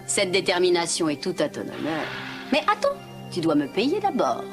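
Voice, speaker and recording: A scheming cartoon sea witch. Her voice swings widely in pitch, insinuating and commanding, theatrical in every phrase, over prominent music. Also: wildly swooping pitch, normal speed. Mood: sly, coaxing. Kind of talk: dubbed animated fairy-tale acting. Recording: music behind.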